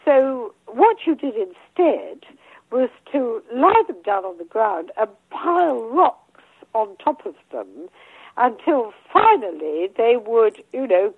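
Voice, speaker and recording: An older English woman speaks over a tinny phone line, her voice husky and going hoarse. She talks in a lively, rising and falling way, pausing on ums and restarting her sentences. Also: a little high, dry recording. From England. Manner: hesitant, many ums and restarts. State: losing her voice. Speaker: guest author. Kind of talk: casual interview talk.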